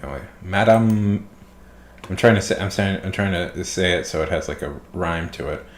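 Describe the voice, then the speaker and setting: A man chatting casually on a writing livestream, his voice a little tired, rambling and playful, trailing off quietly. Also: sits low, steady tone, normal speed, echoey room, a little hiss or hum. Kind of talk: casual stream chat.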